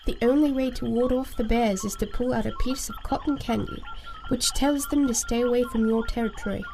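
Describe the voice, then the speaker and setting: A woman narrating a comic fairy tale as a wry, deadpan storybook teller. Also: a little high, fairly quick, background noise, lightly acted. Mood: wry storytelling. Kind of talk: scripted narration.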